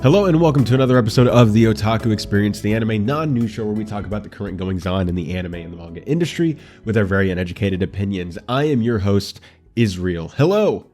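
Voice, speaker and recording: A man's voice: on the low side, expressive, fast, a little mellow, faint music behind. A man hosting a casual anime commentary show, congested and nasal from allergies, with a dry throat, chatting straight to his viewers. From United States.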